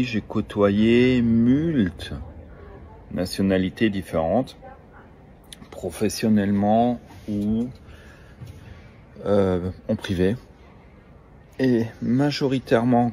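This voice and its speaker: Slow, deep-voiced disdainful man. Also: darkish tone, a little hiss or hum. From Luxembourg. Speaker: social media influencer. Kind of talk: talking-head monologue video.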